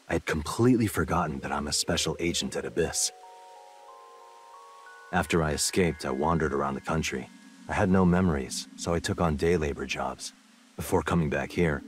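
A laid-back male special agent from a video game, with a low voice. He delivers dry jokes and explanations in the same deadpan way, over prominent music. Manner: deadpan, dryly joking.

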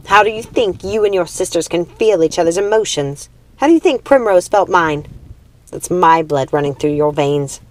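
A woman's voice: on the low side, normal speed, a little hiss or hum, natural voice, low-quality recording. Woman acting a menacing, imperious witch queen mother. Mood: intense, possessive. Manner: commanding, theatrical.

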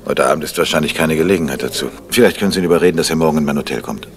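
A middle-aged man acting an urbane, skeptical crime novelist in a 1970s German radio play, gravelly and teasingly ironic. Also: rumbling low. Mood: wry. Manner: unhurried, ironic, hesitating for effect.

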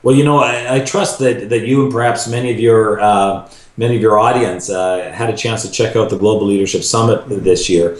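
A middle-aged man with a slightly low voice talks through a video call from a room at home. He is earnest and thoughtful, often restarting his sentences. The sound has a noticeable roomy echo. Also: steady tone, brisk. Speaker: ministry leader, podcast guest. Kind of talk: casual interview conversation.

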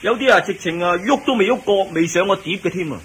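An actor playing a cheerful, chatty young palace kitchen servant in a Cantonese radio drama, with a Hong Kong accent and drawn-out colloquial delivery. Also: male voice, high voice. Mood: chatty, explaining. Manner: animated, colloquial, drawn-out words. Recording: noisy old radio drama recording.

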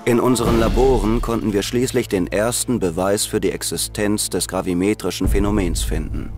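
Ein Sprecher als sachlicher Dokumentarerzähler. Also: male voice, lowish voice, natural voice. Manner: measured, matter-of-fact. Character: male documentary-style narrator, sober. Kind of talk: scripted narration.

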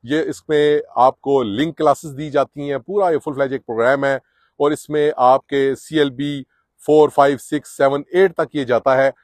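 A man's voice: medium pitch, steady tone, normal speed, a little mellow, dry recording. A middle-aged man talks to camera on a sunny residential street, giving earnest advice to newcomers with frequent filler sounds. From Pakistan or India. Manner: earnest, advising, with many filler "uh"s. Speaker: immigration advice vlogger. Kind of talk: casual explanatory vlog to camera.

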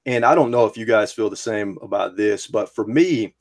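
A man's voice: fairly low pitch, normal speed. Earnest thirty-year-old man hosting. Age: 30s. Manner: earnest, emphatic. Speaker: host. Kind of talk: casual discussion. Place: game room full of collectibles. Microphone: headset with desk mic.

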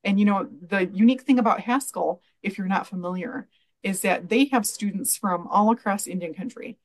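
Woman, middle-aged, video call guest, earnest, measured, frequent fillers, webcam sound. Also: medium pitch. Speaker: guest, library association president. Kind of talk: interview answers over video call. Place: home room with bookshelves.

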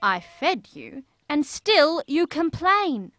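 A woman voicing the Virgin as a sly, high, slow child-eating demon. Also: sing-song, fairly bright tone, no room sound, heavily acted. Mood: exasperated. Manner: sugary then exasperated and sly. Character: sweet-seeming holy woman who is a child-eating demon. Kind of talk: rhyming storybook film acting.

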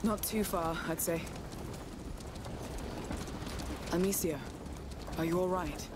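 A woman's voice: steady tone, normal speed, natural voice. An actress voicing a practical, blunt, tough woman companion in a video game, with cart noise behind her. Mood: steady, consoling. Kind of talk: dramatic video game acting. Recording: voice over game ambience, hooves and rumble.

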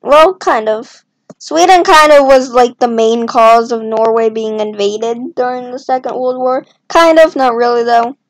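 High-voiced woman, slow rambling commentary. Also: dry recording, low-quality recording. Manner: rambling, self-talking, jokey asides. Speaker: map-making video creator. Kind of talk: casual commentary while drawing.

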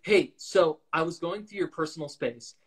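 A young man chatting in a relaxed, easygoing way on a livestream video call, speaking into a phone held at arm's length. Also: a little high, normal speed. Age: young adult. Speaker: livestream co-host. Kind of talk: casual chat on a video call. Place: room with shelves indoors.

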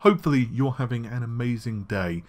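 A man hosting a casual tech news show, his voice slightly scratchy and worn, talking in a chatty way with frequent fillers and quick asides. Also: mid-range voice, darkish tone. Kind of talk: casual solo tech commentary. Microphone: laptop recording setup.

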